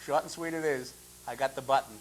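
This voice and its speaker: A man hosting a live call-in show, gruff and dismissive as he fields his callers in an exasperated way. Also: medium pitch, fairly even intonation, fast. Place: public-access TV studio. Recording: buzzy, noisy public-access TV audio.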